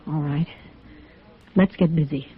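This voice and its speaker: An actress playing a frightened, worn-down witness in a radio drama, speaking hesitantly and huskily. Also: female voice, sits low, steady tone, unhurried, breathy edge, faint music behind, exaggerated voice. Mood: resigned. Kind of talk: 1950s radio drama acting. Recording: tinny, band-limited vintage radio recording.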